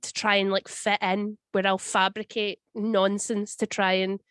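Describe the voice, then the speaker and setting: A Scottish woman in her thirties with a cold that leaves her voice husky, answering interview questions in a chatty, wry way over a video call. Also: mid-range voice, little pitch movement, a little slow, fairly bright tone, no echo, clean studio sound. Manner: chatty, wry, tells stories with quoted voices. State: husky voice from a cold, sniffling and coughing. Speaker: guest.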